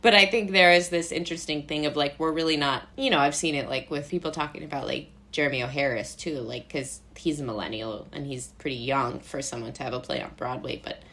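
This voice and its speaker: A woman's voice: deep voice, fairly quick, few pauses, fairly bright tone. A young American woman chatting casually as a podcast guest, rambling through anecdotes and quoting imagined remarks. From Brooklyn, New York, USA. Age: young adult. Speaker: podcast guest, playwright and actor. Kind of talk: casual chat.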